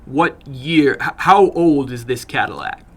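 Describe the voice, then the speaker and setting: A man voicing a wary young American man with a deliberate, questioning delivery in a dramatic story reading. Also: medium pitch, expressive, fairly bright tone.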